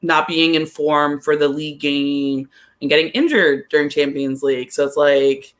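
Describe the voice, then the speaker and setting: A man with a sharp, bright voice pitched a little high talks soccer as a podcast co-host. He speaks casually and rambles, stopping and correcting himself as he goes. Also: steady tone. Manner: rambling, halting, self-correcting.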